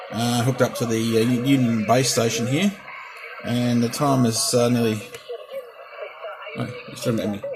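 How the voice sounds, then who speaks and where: Casual Australian man over clear radio noise. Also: mid-range voice. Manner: halting, repetitive. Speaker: CB radio operator demonstrating his equipment. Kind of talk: casual hobby chat and radio talk.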